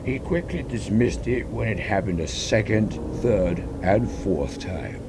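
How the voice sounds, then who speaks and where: A man's voice: lively intonation, unhurried. A man reading fan fiction aloud as dramatic, suspenseful story narration. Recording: loud ambient rumble behind voice. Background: loud ambient noise.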